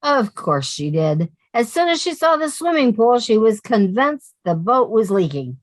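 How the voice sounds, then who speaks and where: A woman's voice: a little high, big pitch swings, no room sound. Woman playing a wisecracking sensible friend. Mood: dry. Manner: dry, sardonic, quick. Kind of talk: radio comedy acting.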